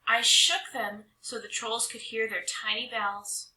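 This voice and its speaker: A woman reading a children's storybook aloud with expressive, dramatic narration, stretching some words and hushing others. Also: fairly high pitch, unhurried. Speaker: storybook reader. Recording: roomy echo.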